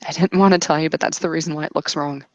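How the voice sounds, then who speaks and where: A woman with a lowish voice and plenty of fry plays out a tabletop roleplaying scene. She delivers it deliberately, in short phrases, in a very dry recording. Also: fairly even intonation, no echo, muffled recording. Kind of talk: improvised narration and in-character roleplay.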